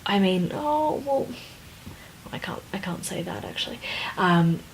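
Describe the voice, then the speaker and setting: Woman podcast co-host, slightly low voice, hesitant and casual, faint background noise. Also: animated, a little slow, vocal fry, rough recording. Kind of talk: casual book discussion.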